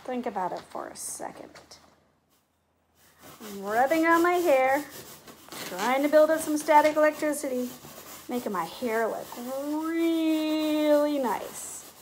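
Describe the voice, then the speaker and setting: A middle-aged woman teacher speaking in simple, clear phrases to young children, as in a read-aloud or classroom demonstration. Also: high-pitched, expressive, slow, a little hiss or hum, poor audio. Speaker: teacher making videos for pupils. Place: indoors at home, living room and kitchen. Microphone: device mic at about arm's length.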